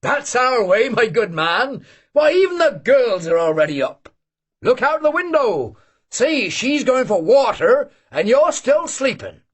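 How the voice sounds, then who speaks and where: Guy doing a loud old cossack hunter, shouty and teasing, husky high voice. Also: sing-song, a little slow, heavily acted.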